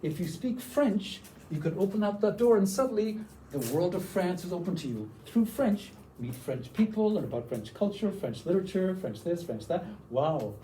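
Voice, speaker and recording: A man's voice: sits high, animated, normal speed, mellow, far from the mic, slight background noise, low-quality recording. An older man from Canada giving a teacher workshop, enthusiastic and often checking in with "okay?". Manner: enthusiastic, tags lines with "okay?". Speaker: workshop presenter.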